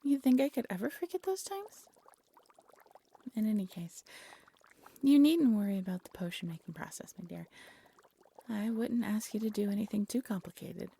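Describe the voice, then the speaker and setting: A woman as a breathy, lovesick fantasy alchemist. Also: mid-range voice, animated, moderate pace, pauses often. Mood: fond, teasing. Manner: tender, soft-spoken, often dropping to a breathy whisper. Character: devoted, lovesick exiled alchemist practising dark magic, gentle. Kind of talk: intimate fantasy audio roleplay, acted monologue.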